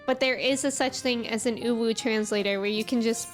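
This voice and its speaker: A woman's voice: fairly high pitch, fairly even intonation, a little fast, faint music behind. A woman hosting a game livestream, chatting casually in a playful, giggly manner. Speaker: game streamer and host.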